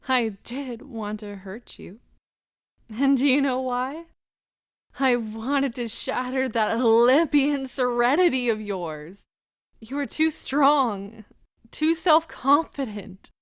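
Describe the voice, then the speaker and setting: A woman playing an anguished, proud wife in an audio drama, speaking with deliberate emotional intensity. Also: fairly high pitch, dry recording, clean studio sound. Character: anguished, proud wife pleading as her marriage ends.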